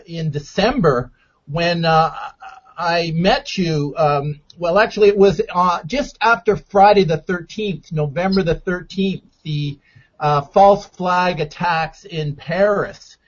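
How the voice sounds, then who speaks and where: A male radio host speaks in a measured, deliberate way as he introduces his guest and the topics. His voice is somewhat high for a man. The sound is dull and lo-fi. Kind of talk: radio interview.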